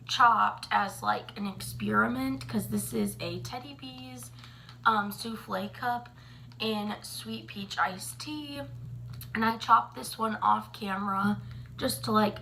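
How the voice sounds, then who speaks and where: A woman, chatting casually to camera in a slightly raspy morning voice, full of ums and likes. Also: a little high, sharp tone, a little hiss or hum. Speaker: vlogger.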